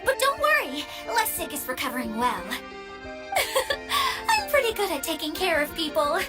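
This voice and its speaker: A woman voicing an upbeat, self-assured, encouraging girl in an anime game, cheerful and expressive. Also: very high-pitched, moderate pace, over music, exaggerated voice. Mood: bright, pleased.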